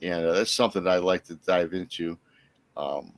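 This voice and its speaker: A low-pitched American man in casual podcast conversation. He rambles in a halting way, often circling back over what he has started to say. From Michigan, United States. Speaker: guest, Bigfoot researcher and podcaster. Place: remote livestream call.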